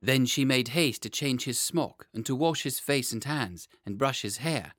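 A man reading a children's story aloud as an animated, scripted audiobook narration. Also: medium pitch, normal speed, no echo, studio quality. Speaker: audiobook reader.

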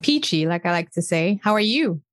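A woman from Senegal in her thirties whose first language is French, answering interview questions in an upbeat, quick way as a podcast guest. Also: lowish voice, lively intonation, dry recording, studio quality. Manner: upbeat, quick, laughs often.

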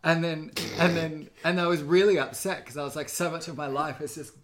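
A man's voice: a little high, steady tone, a little fast, noisy background. Rambling man in his thirties. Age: 30s. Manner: rambling, hyperbolic, self-deprecating. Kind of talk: casual chat.

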